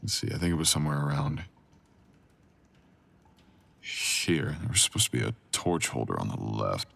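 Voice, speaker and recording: Man playing a very deep-voiced brooding supernatural boyfriend. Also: lively intonation, normal speed, gravelly, fairly bright tone, slight background noise, full character voice. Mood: sly, intimate. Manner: intimate, teasing, drawling. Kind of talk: boyfriend ASMR audio roleplay.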